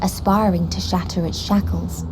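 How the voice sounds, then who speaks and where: An actress playing a somber envoy who delivers a bleak report as a grave, measured, formal monologue in a video game. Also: female voice, fairly low pitch, steady tone, background noise, close to an everyday voice. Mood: grave, detached.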